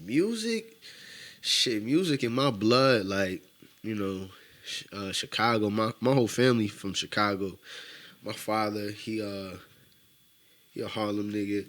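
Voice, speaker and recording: A man's voice: on the low side, a little slow, long pauses, a bit bright. A man with a New York accent tinged by the Midwest, a rapper talking casually as a podcast guest, loose and rambling with lots of "you know what I'm saying". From upstate New York.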